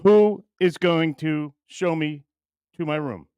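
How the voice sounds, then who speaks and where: A man's voice: fairly high pitch, slow, smooth, dry recording, muffled recording. A man voicing a whiny, entitled puppet comedian in an exaggerated, self-important parody monologue. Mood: indignant, whiny. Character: whiny, entitled, high-voiced puppet comedian, indignant diva.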